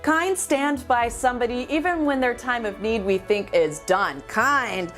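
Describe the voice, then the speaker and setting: A woman's voice: fairly high pitch, a bit bright. Woman reciting slam poetry over music. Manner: deliberate, dramatic phrasing with pauses. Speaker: amateur slam poet.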